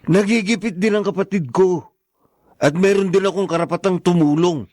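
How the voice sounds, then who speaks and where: A stubborn husband in a radio drama, firm and insistent. His voice sits somewhat high for a man and is recorded very dry. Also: normal speed, darkish tone, dry recording. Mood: stubborn, defensive.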